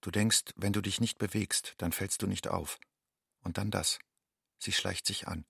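A man playing a young undocumented student, an anxious outsider, in a measured, introspective radio drama monologue. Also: low-pitched, steady tone, vocal fry, a bit bright, slightly rough, no room sound, studio quality, heavily acted. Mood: wistful, guarded. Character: young illegal immigrant student, anxious, inwardly longing outsider.

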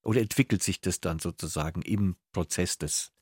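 A man hosting a cultural radio interview, phrasing his questions carefully and deliberately. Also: low voice, a little mellow, husky, no echo, studio quality. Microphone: close, dry studio microphone.

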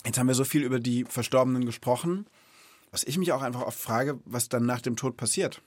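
An encouraging host of a children's podcast speaks in a casual, reflective way. The pitch sits in the middle and the delivery is conversational. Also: a little fast, darkish tone.

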